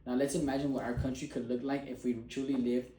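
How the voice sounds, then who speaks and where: A man's voice: mid-range voice, little pitch movement, quick pace, warm tone, reverberant, a little hiss or hum. A man delivering a prepared persuasive speech as a student, in an earnest and emphatic way.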